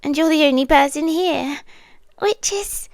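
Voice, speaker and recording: Casting: actress to play young British yandere stalker for ASMR roleplay; high-pitched, slow, soft, hesitant, sweetly obsessive. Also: female voice, no echo, studio quality. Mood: nervous, coaxing. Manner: soft, breathy, hesitant, drifting into whispers. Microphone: close microphone, dry.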